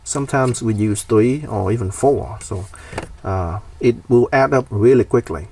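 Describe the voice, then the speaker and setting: A man's voice: mid-range voice, a little slow, darkish tone, far from the mic, a little hiss or hum. A man presenting a casual, hands-on DIY explainer.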